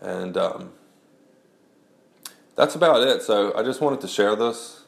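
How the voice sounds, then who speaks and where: A man's voice: lowish voice, lively intonation, takes it slowly, gravelly, roomy sound. A man recording a casual audio blog about memoir writing, talking through a congested head cold and rambling with many restarts. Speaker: blogger and memoir writer.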